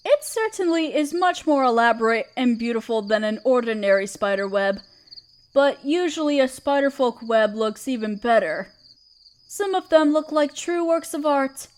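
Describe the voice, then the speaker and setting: A woman playing a gentle, protective half-spider queen in a roleplay audio drama, speaking tenderly and soothingly to the listener. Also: high voice, lively intonation, moderate pace. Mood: gentle, doting. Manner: tender, soothing, hesitant and coaxing. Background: chirping crickets.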